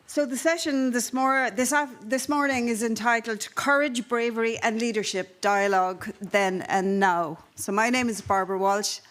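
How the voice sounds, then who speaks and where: A woman with an Irish accent moderating a conference panel, measured and steady, with frequent fillers and restarts. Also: fairly high pitch. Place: conference hall.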